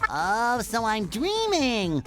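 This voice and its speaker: A man voicing a cheerful, naive young sea sponge in a cartoon game, with a squeaky, nasal, exuberant delivery. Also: wildly swooping pitch, slow, fairly bright tone, full character voice. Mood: curious. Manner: exuberant, earnest, childlike. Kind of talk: animated game cutscene acting. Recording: game music under the voice.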